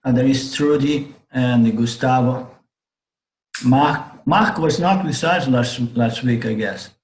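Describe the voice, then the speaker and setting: Slow, hoarse man teaching online. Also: medium pitch, roomy sound, low-quality recording. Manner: halting, restarts phrases, repeats words while explaining. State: strained voice, clears throat often. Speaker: Vedanta teacher. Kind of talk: online class lecture. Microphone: computer mic on a video call.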